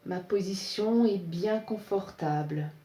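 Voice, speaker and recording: Female meditation guide, soft-edged mellow tone, calm measured phrasing, recorded with noticeable room echo. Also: medium pitch. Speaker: yoga nidra instructor. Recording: roomy, echoing sound.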